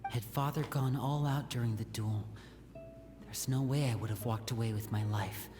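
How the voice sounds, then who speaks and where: A man's voice: medium pitch, fairly even intonation, moderate pace, natural voice. An actor voicing an earnest, respectful young man, a loyal eldest son, in a video game. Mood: doubtful. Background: game score music.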